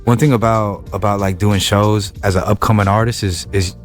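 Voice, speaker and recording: A young man from Wilmington, California, a rapper chatting as a podcast guest, talking in halting bursts full of repeated words and restarts. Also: lowish voice, fairly even intonation, faint music behind. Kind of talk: casual interview chat.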